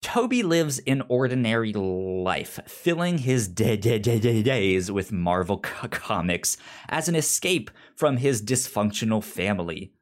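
A man chats casually as a podcast host, taking his time over the words. His pitch moves around a lot, and he often catches on syllables and repeats them before moving on. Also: big pitch swings, a little slow. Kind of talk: casual unscripted podcast chat.

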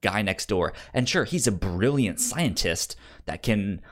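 A man's voice: on the low side, lively intonation, a little slow. A man with a stammer that repeats sounds and words, casually discussing films as a podcast co-host.

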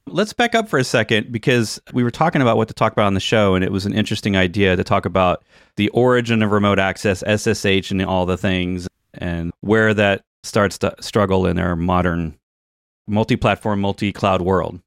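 A man's voice: on the low side, fairly quick, dry recording, studio quality. A man hosting a tech podcast, explaining things in a casual, conversational way. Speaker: podcast host.

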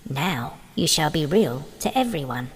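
A man doing a gentle, tender fairy lady. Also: fairly high pitch, lively intonation, a little slow, breathy edge, soft background music, heavily acted, rough recording. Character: gentle female nursery magic fairy, kind and tender. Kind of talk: storybook reading.

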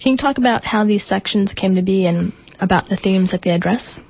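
A woman radio host asking a guest measured, detailed questions. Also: medium pitch, creaky, husky, dry recording. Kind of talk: radio interview. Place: radio studio. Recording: muffled dry radio studio sound.

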